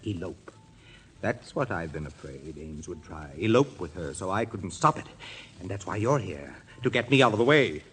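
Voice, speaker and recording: A low male voice as a brooding, possessive older brother. He rambles with bitter intensity in vintage radio melodrama style, over faint recording noise. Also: expressive, moderate pace, a bit bright. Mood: feverish, suspicious. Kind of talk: 1940s radio drama acting.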